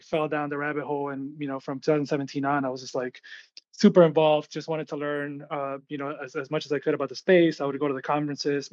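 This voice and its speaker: A man's voice: on the high side, no room sound, highs cut off. A man from Venezuela giving casual, informal interview answers as a guest, explaining things with frequent filler words. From born and raised in Venezuela, grew up in United States. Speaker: guest, artist collective founder.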